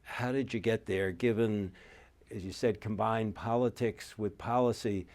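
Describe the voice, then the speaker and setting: A middle-aged man moderating a talk, asking a measured, polite question in a lecture room. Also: fairly low pitch, steady tone, a little mellow. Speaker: moderator and interviewer. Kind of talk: formal interview question.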